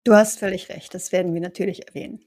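A woman playing an observant, wary vampire scout in an audio drama, stretching words for deliberate emphasis. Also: fairly low pitch, steady tone, a little mellow, no echo, lightly acted. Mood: concerned. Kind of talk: improvised tabletop role-play audio drama.

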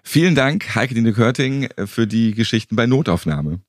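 A man's voice: mid-range voice, expressive, normal speed, no room sound, studio quality. A man speaking as a podcast host in German-style interview and presenting delivery. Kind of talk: podcast interview and scripted ad read.

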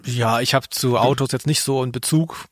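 A man's voice: mid-range voice, fairly even intonation, a little fast, no room sound. A man co-hosting a podcast, chatting casually and with dry irony about audio dramas. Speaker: podcast co-host.

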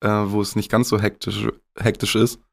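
A man's voice: lowish voice, level tone, moderate pace, creaky. A young man from northwest Germany, a rapper speaking candidly and casually in a podcast interview, with some swearing and English slang.

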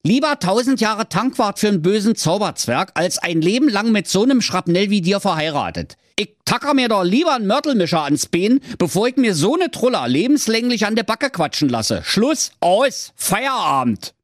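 A man's voice: wildly swooping pitch, no echo, clean studio sound, heavily acted. A man doing a cocky, brash young hero. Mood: angry. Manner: high, brash, fast.

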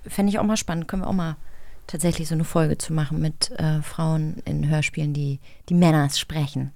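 A woman's voice: low-pitched, fairly even intonation, a little fast, vocal fry, dark tone, no room sound. A woman co-hosting a casual interview, asking questions and musing aloud in an informal way. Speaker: podcast co-host, interviewer. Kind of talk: casual chat.

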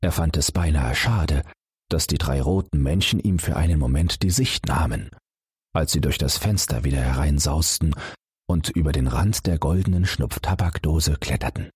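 A man reading a fairy tale as a calm bedtime story, gently addressing young listeners. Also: very deep voice, normal speed, husky, no echo, studio quality. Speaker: audiobook narrator.

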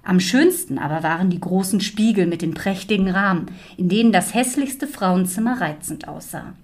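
A woman narrates a scripted fairy tale in a medium-pitched voice. Her phrasing is that of an expressive storyteller. Music is clearly heard behind her. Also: moderate pace.

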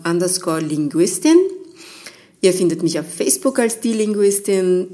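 A woman's voice: fairly low pitch, a little mellow. A woman hosting a solo podcast, explaining and advising listeners in an emphatic, encouraging manner. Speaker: linguist and podcast host giving family language advice.

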